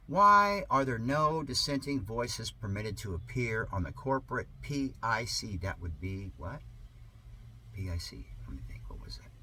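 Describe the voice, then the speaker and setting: A man reading an article aloud in a sarcastic, theatrical manner, with drawn-out emphasis and muttered asides. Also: fairly low pitch, sing-song, pushed and bright, husky. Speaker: amateur reader of an article.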